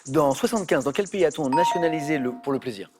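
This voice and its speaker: A man's voice: fairly high pitch, fast, music in the background. Jokey man hosting a TV quiz. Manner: playful, jokey, chatty. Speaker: quiz show host. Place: TV studio.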